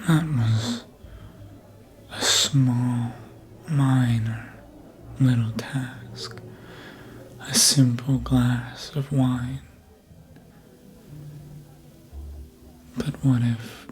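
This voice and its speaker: A performer voicing a suave, manipulative vampire in a whispered ASMR roleplay, breathy and deliberate, speaking close to the microphone. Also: mid-range voice, a little mellow. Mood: sly, menacing. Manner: slow, deliberate, hypnotic, insinuating.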